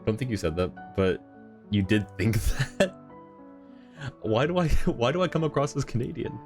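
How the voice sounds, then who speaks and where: A man's voice: medium pitch, big pitch swings, moderate pace, a little mellow, faint music behind. A man streaming and chatting casually with viewers, laughing often in an easygoing, rambling way.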